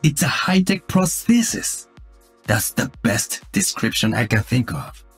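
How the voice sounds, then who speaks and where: An actor playing a calm, solemn cyborg ninja in a video game, speaking in a measured, deadpan way. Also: male voice, medium pitch, breathy edge, exaggerated voice. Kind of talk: video game character voice lines. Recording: music behind the voice.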